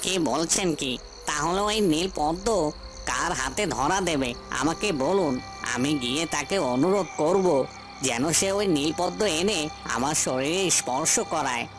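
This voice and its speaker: A man's voice: on the high side, animated, normal speed, music in the background, natural voice. A man playing an earnest young cursed prince in a cartoon fairy tale, speaking in a pleading, respectful way. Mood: surprised, eager.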